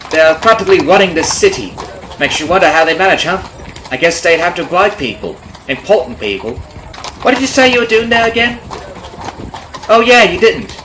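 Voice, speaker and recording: Casting: male actor as folksy, rambling carriage driver for ASMR roleplay, lightish pitch, friendly on top and sly beneath, horse noise behind. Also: lively intonation, brisk, bright, forceful tone, low-quality recording. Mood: slyly probing. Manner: folksy, rambling, nosy, hesitant with mock-innocent asides. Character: chatty, falsely friendly substitute carriage driver, slyly menacing. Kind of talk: ASMR roleplay audio drama, addressing the listener. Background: clip-clopping horse, carriage ambience.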